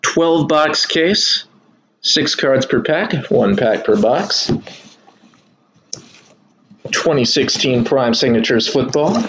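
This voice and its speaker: A man in a relaxed, chatty manner hosts a live card break and talks straight to his viewers. His voice sits in an ordinary middle pitch. The webcam audio comes through dull and lo-fi, with a faint hiss underneath. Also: takes it slowly, pauses often. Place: indoor room at a kitchen table.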